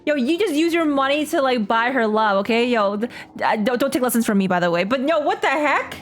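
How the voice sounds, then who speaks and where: A young woman reacting casually as she plays a story game. Her voice is on the high side, and her delivery is slangy and exclamatory, often repeating phrases. Faint music sits behind her. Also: expressive, fairly quick. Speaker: streamer playing a story game.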